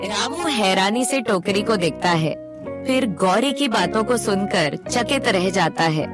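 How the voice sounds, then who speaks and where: A woman's voice: medium pitch, expressive, measured pace, over music. A woman narrating a scripted moral story as its storyteller.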